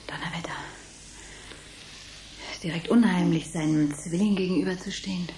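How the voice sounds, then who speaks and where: A woman's voice: on the low side, fairly even intonation, moderate pace, warm tone, lightly acted. An actress playing a wry, didactic woman who coaches androids in speech, in a science fiction radio play. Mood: amazed, uneasy. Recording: echoey, clear background noise.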